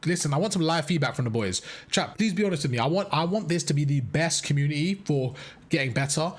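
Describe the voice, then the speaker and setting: A man's voice: fairly high pitch, animated, fast talker. A young man coaching players and chatting with his live-stream audience in a casual, repetitive way, his voice strained from talking. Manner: chatty, repeats phrases, addresses chat as bro.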